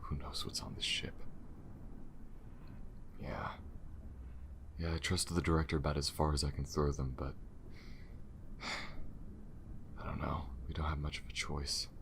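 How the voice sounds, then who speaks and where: A man's voice: level tone, a little slow, pauses often, noisy background, heavily acted. A man voicing an injured, wary alien spacefarer in an ASMR audio roleplay, speaking in a hesitant, casual mutter with a wry edge. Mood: wary, uneasy. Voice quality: very deep, gravelly. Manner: hesitant, casual, muttering with sighs and half-whispers. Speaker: voice actor. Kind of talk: ASMR audio roleplay, one side of a conversation.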